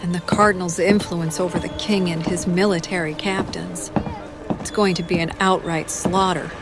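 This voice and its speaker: An actress plays an earnest warrior woman in an audio drama. Her voice sits on the low side, serious and concerned, with music playing behind it. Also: fairly even intonation, moderate pace, natural voice.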